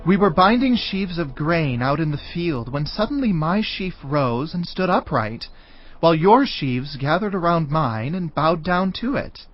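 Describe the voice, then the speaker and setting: An actor playing an eager, earnest seventeen-year-old favored son in a dramatized scripture reading. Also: male voice, a little high, sing-song, a little slow. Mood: eager wonder. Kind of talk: dramatized scripture audio acting. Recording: dull audio over background music.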